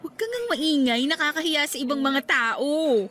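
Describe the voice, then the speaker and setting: A woman playing a young Filipino girlfriend in a radio drama, polite, bashful and gently chiding. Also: sits high, very animated, moderate pace. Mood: flustered, shy.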